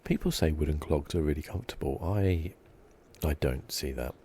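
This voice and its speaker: A man giving soft, relaxed, musing ASMR commentary as host of a video game playthrough. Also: rumbling low, normal speed, slight background noise. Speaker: gaming channel host.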